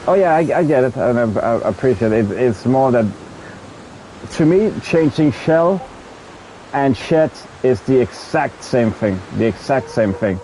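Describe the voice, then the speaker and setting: A man's voice: medium pitch, lively intonation, measured pace, mellow, a little hiss or hum, rough recording. A man with a Danish accent, a native Danish speaker, chatting casually with viewers on a game livestream, stumbling and repeating words as he hunts for the right English term and swearing in frustration. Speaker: streamer.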